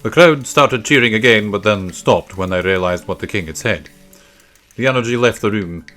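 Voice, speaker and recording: A man's voice: on the low side, normal speed, a bit bright. A man narrating a children's story from a script. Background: faint music.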